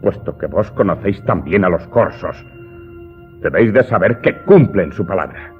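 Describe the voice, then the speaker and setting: A deep-voiced, vengeful Corsican manservant confesses to his master in a radio drama. He recounts his tale slowly and gravely, with emphatic flares of passion. The sound is muffled and lo-fi, with prominent music beneath his words. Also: animated. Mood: grave, menacing. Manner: deliberate, dramatic storytelling with emphatic outbursts. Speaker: voice actor in an audio drama. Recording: dull, muffled audio with loud music underneath.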